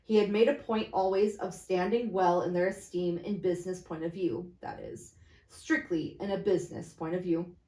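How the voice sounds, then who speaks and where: A woman's voice: on the low side, fairly even intonation, moderate pace, warm tone. A woman reading classic fiction aloud as an amateur storyteller, stumbling now and then over her words. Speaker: storybook reader. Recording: echoey, roomy sound.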